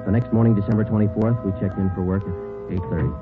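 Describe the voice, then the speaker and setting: A man's voice: fairly low pitch, fairly even intonation, quick pace, natural voice. An actor playing a terse, businesslike American police sergeant in a 1940s radio crime drama, narrating his case in a clipped, matter-of-fact way. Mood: deadpan. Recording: muffled vintage 1940s radio recording, music behind.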